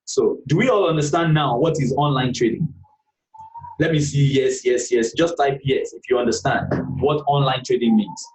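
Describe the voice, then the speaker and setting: A man's voice: medium pitch, moderate pace, darkish tone, roomy sound, distant mic, poor audio. A man teaching a live online class for beginner traders, patient and repetitive, checking in with "okay" all the time. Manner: patient, repeats points, frequent "okay" checks. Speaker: trading instructor.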